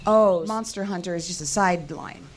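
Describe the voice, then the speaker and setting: A woman's voice: lowish voice, normal speed, slight background noise, natural voice. An actress playing a sceptical, short-tempered female technician in an audio drama, brusque and sarcastic.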